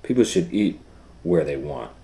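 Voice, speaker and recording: A man reading a children's book aloud as a storytime educator, in simple, deliberate phrases with explanatory asides. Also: low-pitched, big pitch swings, vocal fry, dark tone.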